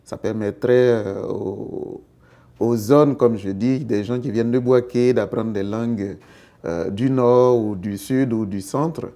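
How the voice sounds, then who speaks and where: A man, answering a street interview in a thoughtful way, pausing often with fillers. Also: lowish voice, creaky, warm tone. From Côte d'Ivoire.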